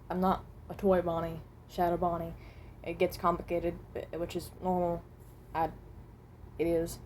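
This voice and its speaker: A woman voicing a weary, self-loathing animatronic rabbit, halting and often trailing off, in an amateur improvised monologue. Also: fairly low pitch, darkish tone, a little hiss or hum, close to an everyday voice.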